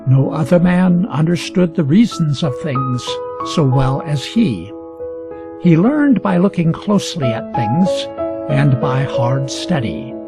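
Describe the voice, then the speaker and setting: A man reading a scripted educational story aloud for English learners with deliberate, emphatic phrasing. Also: a little high, expressive, music in the background. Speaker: reader.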